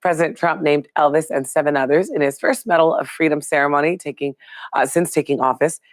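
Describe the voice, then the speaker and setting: A woman, hoarse and raspy from a cold, hosting a live news chat and greeting viewers by name. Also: sits low, brisk, no room sound. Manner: chatty, upbeat, throws questions to viewers. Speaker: live show host.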